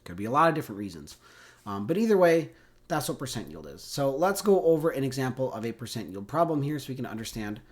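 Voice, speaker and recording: A man's voice: medium pitch, big pitch swings, fast. Congested man giving a casual chemistry lecture. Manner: casual, checks in with "right?" and "okay?". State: congested from a cold. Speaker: chemistry teacher. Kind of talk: recorded classroom lecture.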